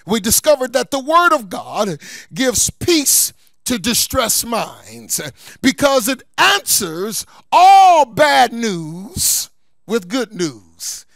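High-pitched, animated male preacher. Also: slow, vocal fry, no echo. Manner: emphatic, repeats key phrases for stress; colloquial, folksy asides between scripture points. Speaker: pastor. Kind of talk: sermon.

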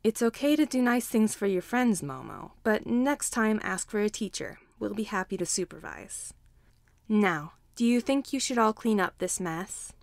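Tired, patient male teacher for a storybook, flat and gruff but kindly read by a woman, dry sound. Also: mid-range voice, normal speed, dry recording.

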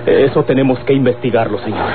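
A man's voice: mid-range voice, music in the background, close to an everyday voice. A Mexican man playing a sober, respectful younger man reporting to his boss in an old radio drama. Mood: measured. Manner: measured, respectful. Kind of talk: Mexican golden-age radio serial acting. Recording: noisy, phone-like old radio recording.

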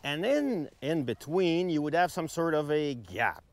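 A man's voice: a little high, very animated, a little slow. A middle-aged man gives an enthusiastic, conversational product review to camera as a ski reviewer, stressing words emphatically. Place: outdoors on a ski slope.

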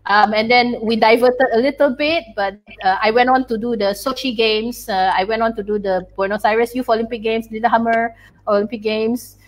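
A woman's voice: a little high, rough recording. A woman in her thirties on a video call, earnestly recounting her career with many fillers. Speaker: course co-founder. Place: indoor room, video call. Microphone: webcam mic on video call.

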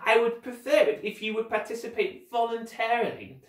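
Man putting on an oily, high-pitched villainous count. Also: steady tone, moderate pace, echoey room, far from the mic. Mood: menacing, commanding. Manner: oily, theatrical, commanding. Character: sinister, controlling older villain, falsely polite count.

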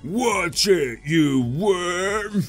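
A man's voice: sits high, lively intonation, drawn-out words, faint music behind, voice pushed up, exaggerated voice. A man voicing a bossy, menacing villain gang member in a comic manga reading. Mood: commanding.

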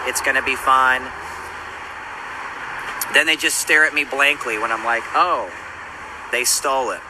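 Weary man on a night street. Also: medium pitch. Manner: subdued, weary, halting with fillers. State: in pain from an eye injury. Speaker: street preacher. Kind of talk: phone selfie video recap. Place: outdoors on a city street at night. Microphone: phone held at arm's length. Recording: phone audio with street noise. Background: street traffic noise.

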